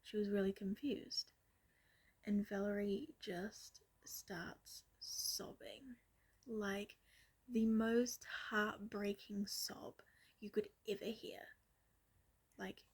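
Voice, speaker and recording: Slow breathy whispering young woman. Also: mid-range voice. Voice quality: soft whisper. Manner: rambling, many fillers like "like". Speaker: storyteller. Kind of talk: whispered ASMR storytelling.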